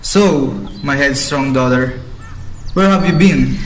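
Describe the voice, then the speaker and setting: A man's voice: mid-range voice, slow pace, close to an everyday voice. Man acting as a bossy father, noisy recording. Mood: stern. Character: bossy, blustering middle-aged father, head of household. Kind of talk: student radio drama acting. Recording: amateur recording with background noise.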